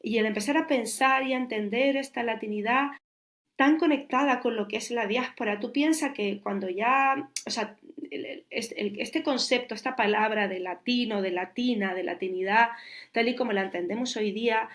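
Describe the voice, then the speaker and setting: A woman's voice: a little high, normal speed, a bit bright. A Chilean curator in her forties, talking in a reflective interview and thinking aloud with many restarts. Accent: Chilean Spanish. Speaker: curator and guest.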